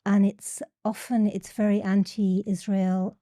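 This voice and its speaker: A woman's voice: mid-range voice, little pitch movement, takes it slowly, warm tone, no echo, clean studio sound. A middle-aged woman with an English accent, a podcast guest speaking hesitantly and often repeating words. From England. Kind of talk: casual interview.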